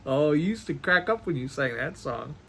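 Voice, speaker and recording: A man playing a doting middle-aged father in a stage monologue over a video call, earnest and halting, stammering as he rambles to his daughter. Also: a little high, lively intonation, gravelly, pushed and bright, full character voice. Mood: fond, giddy. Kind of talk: one-act play monologue performed on video call. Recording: noisy video call audio.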